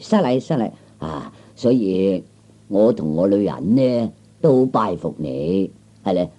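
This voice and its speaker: A man's voice: fairly low pitch, dark tone, husky, dull audio, full character voice. A man playing a genial, courteous gang boss in a Cantonese comic radio play, drawing out his words.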